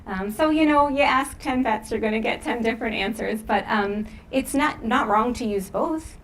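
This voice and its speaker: A woman's voice: a little high, normal speed, slight background noise, rough recording. A woman veterinarian answering audience questions after a lecture in an informal, conversational and reassuring way. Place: live lecture event.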